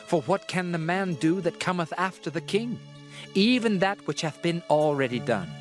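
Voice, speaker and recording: An actor playing a world-weary, reflective king called the Preacher in a dramatized scripture reading, solemn, measured and declamatory. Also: fairly high pitch.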